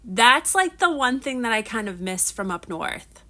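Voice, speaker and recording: A woman's voice: a little high, sing-song, a little slow, pushed and bright. A woman in her thirties, chatting casually on a livestream while she preps crafts, a little nasal from allergies, rambling with frequent restarts. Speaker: livestream host.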